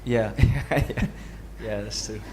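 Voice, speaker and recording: A man's voice: on the low side, fairly even intonation, slow, a little mellow, slight background noise, low-quality recording. A man asking questions as a newcomer to a class, polite and tentative in a casual way.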